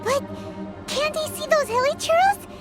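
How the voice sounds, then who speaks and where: A woman voicing a tiny, excitable, childlike pixie companion in a video game, chatty and quick to exclaim. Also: very high-pitched. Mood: curious. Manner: speaks of self in third person, exclamatory. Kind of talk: animated video game voice acting. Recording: music behind the voice.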